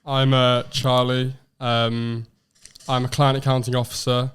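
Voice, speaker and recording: A man's voice: medium pitch, level tone, a little slow, fairly bright tone, clean tone. A man in his twenties co-hosting a casual podcast, talking chattily with lots of "like". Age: 20s. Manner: chatty, heavy use of "like". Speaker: podcast co-host. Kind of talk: casual chat.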